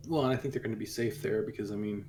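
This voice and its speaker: A tabletop game player talks in a medium-pitched voice. The style is casual, unscripted chat among players. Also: very fast, darkish tone.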